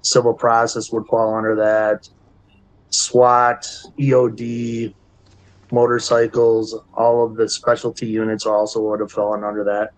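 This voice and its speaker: A middle-aged man with a Wisconsin accent, a chief deputy sheriff giving short formal sworn answers over a video call, his voice a little raspy from talking all day. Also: on the low side, completely flat delivery, measured pace, rough recording.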